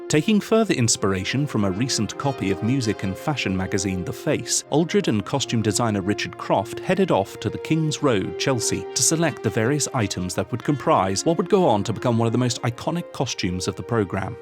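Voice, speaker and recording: A man with a British accent reading scripted documentary narration in formal, measured, wordy phrasing. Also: medium pitch, very animated. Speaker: video essayist, narrator. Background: music.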